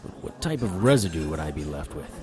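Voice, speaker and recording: A weary monster hunter tells his story in dry, wry noir style. His voice sits very low and stays grounded. Crowd noise murmurs behind him. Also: very animated, fast. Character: hard-bitten male monster hunter narrating. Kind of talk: noir first-person narration and dialogue.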